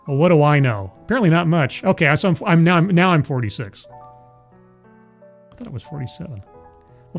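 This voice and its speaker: Chatty man in his forties, slightly high. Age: 40s. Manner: rambling, self-deprecating, laughs often. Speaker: livestream host. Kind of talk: casual chat with live chat.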